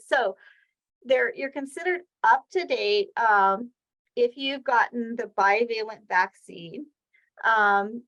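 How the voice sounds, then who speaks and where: A woman's voice: fairly high pitch, rough recording. A woman presenting an educational webinar, explaining slides step by step in a conversational way with examples. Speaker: presenter of a weekly healthcare training session.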